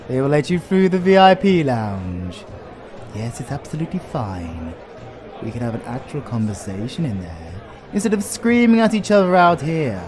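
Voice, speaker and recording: Suave British vampire lord, animated, acted by a man. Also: medium pitch, natural voice. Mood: brisk, charming. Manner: theatrical, genteel, playfully teasing. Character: suave, flirtatious English vampire lord, courteous and teasing. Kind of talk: flirtatious audio roleplay addressed to the listener.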